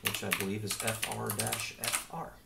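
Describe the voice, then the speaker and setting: A man's voice: on the low side, level tone, normal speed, creaky, heavy background noise. A young man in his twenties, a game developer, casually walking viewers through a demo at his desk with an easygoing, explanatory manner and plenty of filler words. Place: small home office with a desk and monitor.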